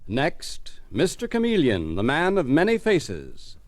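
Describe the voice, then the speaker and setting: Animated, dramatic 1940s radio announcer, male. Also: fairly high pitch, loud music behind the voice. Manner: dramatic, formal, deliberate. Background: musical instrument.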